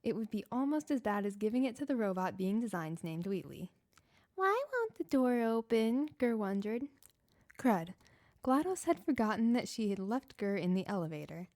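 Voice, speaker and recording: A woman reading a fan-written story aloud in a steady, plain narrating voice. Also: mid-range voice, moderate pace. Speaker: fanfiction reader.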